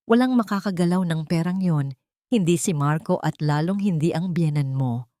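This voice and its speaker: Woman narrator as a calm, protective male lawyer. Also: no echo, clean studio sound, lightly acted. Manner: low, calm, deliberate speech. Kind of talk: dramatic storybook dialogue.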